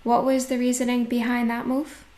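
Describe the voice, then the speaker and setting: A woman's voice: a little high, monotone, moderate pace, mellow, echoey room. A woman hosting an interview, asking curious questions and reacting with laughs. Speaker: podcast host.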